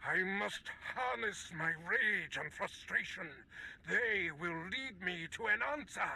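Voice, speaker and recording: Menacing game Sith lord, gravelly, piercing, grandiose, slow and imperious. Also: male voice, high-pitched, lively intonation, pushed and bright, heavily acted, poor audio. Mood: frustrated rage. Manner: grandiose, drawn-out, imperious delivery. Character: menacing theatrical Sith lord master, raging and scornful.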